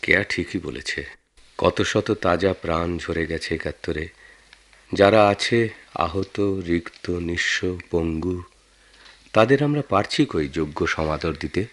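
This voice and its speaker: An actor with a Bangladeshi accent playing a concerned married man in a radio drama, earnest and deliberate. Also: low voice, a bit bright, heavily acted. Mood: solemn. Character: concerned married man, sentimental, respectful of war veterans. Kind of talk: Bengali radio drama acting.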